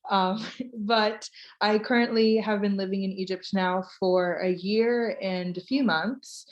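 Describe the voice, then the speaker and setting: A woman's voice: medium pitch, steady tone, unhurried, lo-fi sound. An American woman from Florida, hosting a live online Q&A over a video call in a chatty, informal way and reading out listener questions. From United States (Florida). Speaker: host, tour ambassador.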